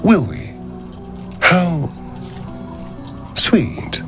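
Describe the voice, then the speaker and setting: A man's voice: fairly high pitch, sing-song, very slow, music in the background, full character voice. An actor playing a guarded, centuries-old male vampire in a game, theatrical and sarcastic, faltering when vulnerable. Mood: halting, wry. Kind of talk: dramatic video game acting.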